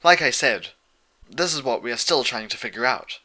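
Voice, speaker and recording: A man voicing a calm, regal pony princess in a storybook reading, a wise and motherly teacher with a measured, lecturing manner. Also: medium pitch. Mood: patient. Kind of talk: fan fiction audiobook character voice.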